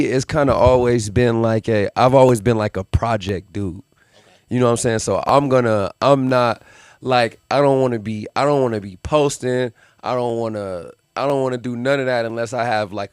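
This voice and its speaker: A man's voice: fairly low pitch, a little mellow, smooth, no room sound. A man in his thirties, a musician from Milwaukee raised in Las Vegas, talking in a laid-back, reflective way with lots of fillers in a casual podcast interview. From Milwaukee, Wisconsin; raised in Las Vegas. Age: 30s. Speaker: musician guest.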